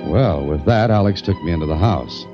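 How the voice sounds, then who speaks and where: An actor playing a wisecracking private investigator who narrates in a 1940s radio drama, with a dry, sardonic manner. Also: male voice, deep voice, lively intonation, normal speed. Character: wry, wisecracking adult male private investigator. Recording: muffled old radio broadcast with faint music.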